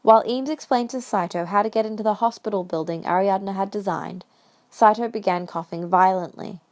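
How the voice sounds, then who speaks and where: A woman's voice: medium pitch, fairly quick, a little mellow. A woman reading scripted story narration as the narrator of a fan audio drama.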